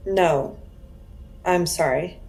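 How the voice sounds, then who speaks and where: A woman's voice: on the low side, extremely slow, gravelly, darkish tone, exaggerated voice. A woman acting as a guarded, uneasy witness who answers police in hesitant, terse replies in a crime audio drama.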